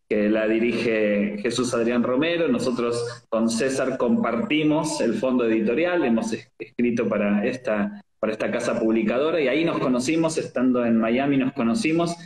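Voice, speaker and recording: A man with an Argentine accent hosting a casual interview over a video call, playful and joking, filling pauses with "este". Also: mid-range voice, darkish tone, roomy sound, low-quality recording. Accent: Argentine Spanish. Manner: playful, joking, many fillers. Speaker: host.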